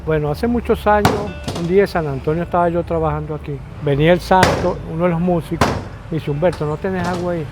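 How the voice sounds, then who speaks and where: A man's voice: fairly high pitch, steady tone, quick pace, few pauses, heavy background noise. An older man with a Venezuelan accent from Lara, a local cook casually telling stories in an interview. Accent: Venezuelan, Lara state.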